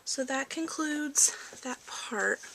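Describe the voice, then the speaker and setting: A soft-spoken woman who often slips into a whisper, playing a gentle, reassuring doctor in an ASMR medical exam roleplay. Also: high voice, takes it slowly. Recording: clearly noisy amateur recording.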